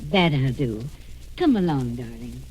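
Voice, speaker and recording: A woman's voice: extremely low pitch, lively intonation, unhurried, darkish tone. A woman playing a big, comforting old housekeeper, pious and solemn, in 1940s radio style. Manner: soothing, solemn. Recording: muffled, very noisy old radio recording.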